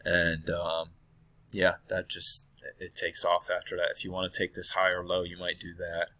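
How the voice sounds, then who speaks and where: A man walking through a trading chart in a casual, hesitant way, hoarse and losing his voice from illness, often clearing his throat and correcting himself. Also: low-pitched, completely flat delivery, moderate pace, bright, forceful tone. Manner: thinking aloud, hesitant with many fillers and self-corrections. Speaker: trading analyst.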